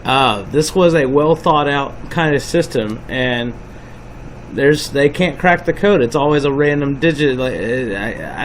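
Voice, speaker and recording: A man with a slightly high voice chats casually as a podcast host. He rambles earnestly and informally, over a faint hum. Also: rough recording. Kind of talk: casual explainer chat.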